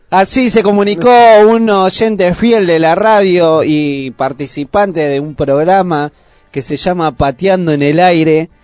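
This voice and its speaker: High-voiced Buenos Aires radio host, dry sound. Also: male voice, a little mellow, dry recording. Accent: Argentine, Buenos Aires. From Argentina. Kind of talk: casual radio chat.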